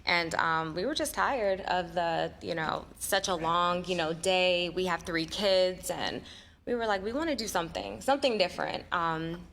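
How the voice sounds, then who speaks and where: A woman's voice: lowish voice, normal speed, gravelly, fairly bright tone, a little hiss or hum. A woman from New Jersey, a business owner chatting as a guest on a local radio show, with many fillers. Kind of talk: casual radio interview.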